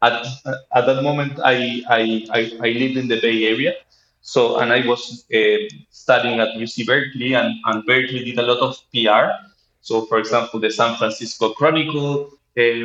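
A man in his thirties from Chile with a Chilean Spanish accent, telling his personal story as an interview guest in a halting manner. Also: medium pitch, fairly bright tone. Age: 30s. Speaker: interview guest, startup co-founder. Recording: roomy, dull lo-fi sound.

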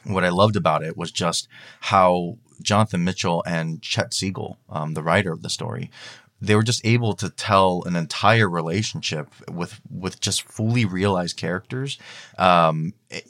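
Male interview guest: deep pitch, casual, keen, reflective, somewhat halting conversational delivery. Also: fairly bright tone. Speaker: guest, fiction podcast creator.

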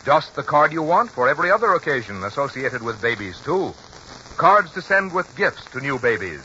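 A male announcer delivers old-time radio advertising copy. His voice is mid-pitched and forceful, with very lively, emphatic inflection. The sound is dull and hissy. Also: moderate pace. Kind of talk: scripted 1940s radio advertising. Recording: muffled, hissy 1940s radio recording.

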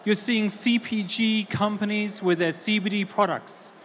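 A woman from Texas giving an energetic, conversational talk at a conference and asking the audience questions. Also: mid-range voice, unhurried, slight background noise. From Austin, Texas. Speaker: conference keynote speaker. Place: large conference hall. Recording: roomy hall with faint ambience.

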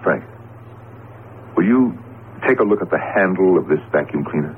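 An actor playing an earnest, unsettled Egyptology professor, speaking insistently in a radio drama. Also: male voice, sits low, animated, normal speed. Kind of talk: 1970s radio drama acting. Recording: muffled noisy old radio broadcast.

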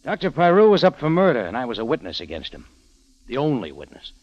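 An actor playing a tough, wry American adventurer with a terse hard-boiled delivery, in 1940s radio drama style, on a dull old recording. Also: male voice, medium pitch, huge pitch swings, quick pace, dry recording. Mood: terse, uneasy. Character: tough, wry American adventurer narrating his own case.

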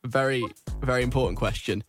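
A man's voice: mid-range voice, a little fast, faint music behind. A man presenting and interviewing on a radio show in a chatty, informal, casual way.

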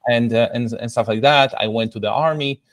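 A middle-aged man with an Israeli accent speaks as a guest in a conversational interview. His voice sits at an ordinary male pitch and he explains things reflectively. The recording is dry, with no room sound. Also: a little fast, no echo. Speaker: podcast guest, product coach.

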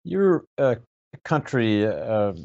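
A man moderating an interview, putting formal questions in a measured, deliberate and somewhat hesitant way. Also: mid-range voice, very animated, gravelly, darkish tone. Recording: dull, lo-fi audio.

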